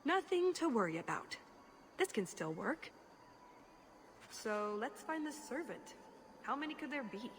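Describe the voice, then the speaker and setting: An actress playing a plucky, optimistic schemer with a playful yet earnest manner in a video game. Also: female voice, a little high, sing-song, unhurried, pauses often, in a large hall, slight background noise. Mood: scheming, hopeful.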